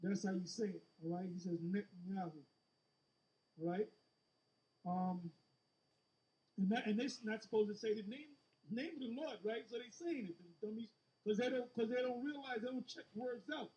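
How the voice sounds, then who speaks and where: A man teaching scripture in an informal sermon, halting and repeating words as he explains in blunt street-preacher phrasing. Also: sits high, a little mellow, dull audio. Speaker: religious teacher leading a scripture discussion. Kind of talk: informal sermon with verse-by-verse commentary.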